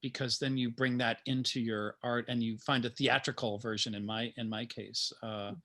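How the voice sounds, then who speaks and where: A man speaking informally as a guest playwright about his creative process, in a halting, thoughtful manner. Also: mid-range voice, flat delivery, sharp tone. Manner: halting, thoughtful, chuckling asides.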